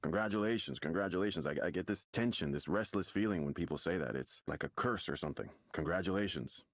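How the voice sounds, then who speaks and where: A man's voice: low-pitched, fast, dry recording, clean studio sound. An actor playing a nervous, defensive expectant father in a visual novel, hesitant and stammering as he talks. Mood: uneasy, hesitant. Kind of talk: visual novel game dialogue. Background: music.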